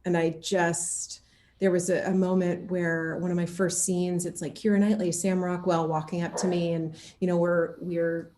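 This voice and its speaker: A woman giving a casual interview as an actor, speaking in an animated, self-deprecating way with playful exaggeration. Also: on the low side, moderate pace. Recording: roomy, echoing sound.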